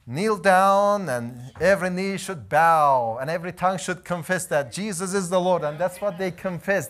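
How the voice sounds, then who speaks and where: Male missionary, 30s, lively storytelling testimony, fairly high voice. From Iran. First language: Azeri. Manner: animated storytelling, gesturing while pacing. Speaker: missionary preacher. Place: church hall, indoors.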